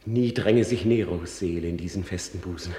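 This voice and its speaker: An actor playing a bitter, resolute, vengeful prince in a radio tragedy, declaiming his monologue deliberately. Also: sits low, warm tone, roomy sound, natural voice. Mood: brooding, fierce. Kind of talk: classical stage tragedy radio acting.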